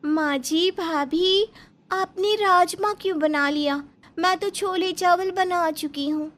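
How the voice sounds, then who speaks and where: A woman's voice: extremely high pitch, slow pace, a bit bright, pitched above the performer's own voice, heavily acted. A woman voicing a sweet, obliging young daughter-in-law in a cartoon story, polite and eager.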